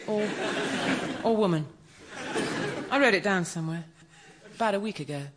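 A man's voice: sits high, moderate pace, very noisy, pitched above the performer's own voice, lightly acted. A man putting on the voice of a vague, absent-minded cab controller. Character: vague distracted cab controller, unsure, muddled.

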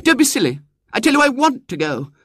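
A bossy little girl with a high, ringing voice that swoops up and down, sounding dry and close, as a man puts her on for a storybook. She gives orders and pleads by turns. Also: big pitch swings, a little fast, fairly bright tone, husky, no room sound, full character voice. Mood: imperious. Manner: commanding, high and breathless.

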